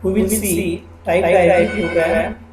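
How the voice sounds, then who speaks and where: Man, programming lecturer, halting step-by-step explaining, echoey room, steady hiss behind. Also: high-pitched. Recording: echoey room with background noise.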